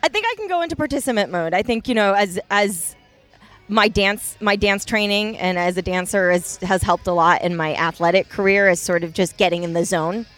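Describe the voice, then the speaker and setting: A woman's voice: medium pitch, a little fast, a bit bright, faint music behind. A woman, a racer and dance teacher, chatting in a casual interview at an outdoor event, playful and laughing often.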